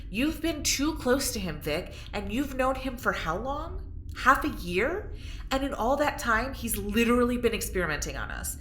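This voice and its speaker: A woman's voice: a little high, very animated, normal speed, a bit bright. An actress playing a blunt, sarcastic, distrustful woman who is grudgingly loyal in an audio drama. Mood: confrontational. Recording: roomy, faint noise.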